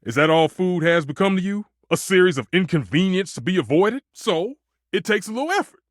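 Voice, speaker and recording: A man's voice: high voice, animated, fairly quick, dry recording, studio quality. A man voicing a passionate, proud kitchen stove in a video game, a dramatic food purist who speaks emphatically and with grand flourishes. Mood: impassioned. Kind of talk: theatrical video game character dialogue.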